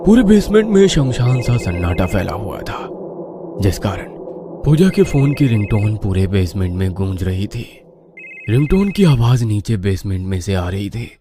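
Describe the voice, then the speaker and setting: A man's voice: on the low side, big pitch swings, moderate pace, noisy background. A man narrating a scripted horror story in a suspenseful, theatrical way.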